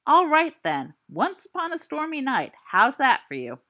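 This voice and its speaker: A woman's voice: sits high, huge pitch swings, moderate pace, sharp tone, voice pushed up, full character voice. A woman voicing a teen wizard boy telling a ghost story. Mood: storytelling. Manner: theatrical storytelling, dry asides. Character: teen boy wizard spinning a spooky tale.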